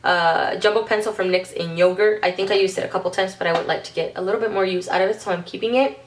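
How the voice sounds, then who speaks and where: A woman's voice: medium pitch, fairly even intonation, fast, a little hiss or hum. A congested, under-the-weather woman chatting through a casual makeup vlog, rambling with frequent ums and self-corrections. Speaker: beauty vlogger.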